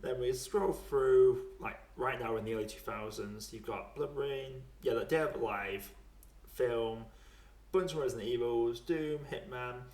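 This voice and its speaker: A young man hosts a film podcast in a loose, chatty way. His voice sits at an average male pitch, and he is informal and quick to chuckle. The recording is noticeably echoey, like an untreated room. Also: darkish tone. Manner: chuckles often while talking, informal swearing. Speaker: podcast host and film reviewer. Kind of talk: casual podcast chat.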